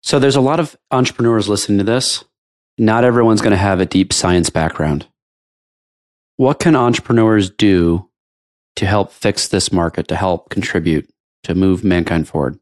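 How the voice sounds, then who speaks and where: A man's voice: deep voice, moderate pace. A man hosting a podcast for entrepreneurs, asking questions with brief encouraging prompts. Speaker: podcast host. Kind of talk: interviewing a guest.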